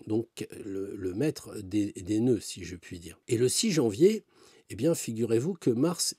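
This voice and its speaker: A male astrologer talks through his reading in a loose, rambling way, full of fillers and side remarks. His voice is dark and a little husky, recorded dry with no room sound. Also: lowish voice, no room sound. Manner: rambling, with many fillers and asides. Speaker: astrologer and tarot reader. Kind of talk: casual spoken commentary.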